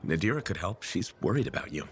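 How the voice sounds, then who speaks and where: An actor voicing a supportive video game freelancer hero in a plain-spoken, measured, reassuring way. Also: male voice, low-pitched, very animated. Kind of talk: video game character dialogue.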